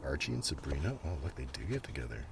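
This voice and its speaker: A man with an extremely low, crackly, fry-heavy voice rambles quietly in an ASMR show-and-tell. He murmurs at an unhurried pace and sometimes slips toward a whisper. He is recorded outdoors, with clear breezy ambient noise around him. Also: big pitch swings. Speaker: ASMR video host.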